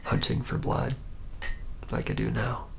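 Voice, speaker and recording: A man playing a reluctant, jaded young male vampire in a whispered ASMR roleplay, speaking haltingly with a wry, deadpan manner. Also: medium pitch, background noise. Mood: resigned, wry. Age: young adult. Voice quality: breathy whisper with gravelly creak.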